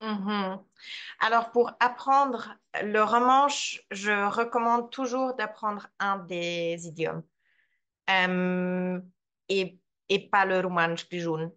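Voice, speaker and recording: A woman presenter answering questions in an online talk, slow and careful, searching for words. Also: mid-range voice, fairly even intonation, a bit bright, smooth. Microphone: computer mic on a video call.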